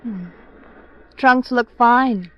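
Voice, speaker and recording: A woman's voice: on the high side, drawn-out words. Frank world-weary society lady, american, old radio drama, muffled lo-fi recording, no echo. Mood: flirtatious, languid. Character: candid, world-weary, self-possessed society woman. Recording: dull vintage radio recording.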